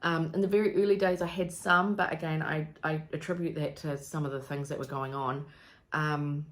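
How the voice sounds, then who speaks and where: A woman's voice: deep voice, steady tone, normal speed. A woman in her thirties from New Zealand with a New Zealand accent, talking casually to camera in a rambling vlog full of ums and likes. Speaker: vlogger.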